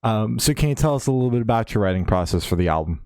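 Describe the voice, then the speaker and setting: A relaxed man hosting a podcast, his voice sitting a little low. He asks long, loosely built questions full of "like" and "um". The sound is dry and close. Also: racing, clean tone, no room sound. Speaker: podcast host. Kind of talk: casual interview questions.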